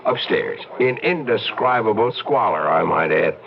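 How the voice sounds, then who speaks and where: Glib low-voiced bartender, old radio drama. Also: male voice, animated, unhurried, gravelly. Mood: wry, flirtatious. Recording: dull radio recording with music behind.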